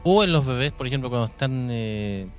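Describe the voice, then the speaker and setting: A man's voice: medium pitch, expressive, fairly quick. A man with a Chilean accent hosting a radio show in a conversational way, hesitantly searching for his words. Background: music.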